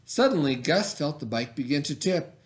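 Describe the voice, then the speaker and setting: Man, teacher addressing children, expressive, encouraging, dramatic pauses, widely varied pitch. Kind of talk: expressive read-aloud for children.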